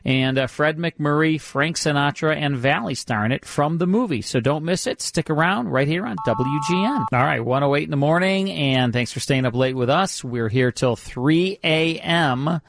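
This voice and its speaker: A man's voice: fairly high pitch, moderate pace, creaky, few pauses, fairly bright tone, dry recording. Folksy middle-aged man hosting radio. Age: 50s. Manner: folksy, joking. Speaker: radio host.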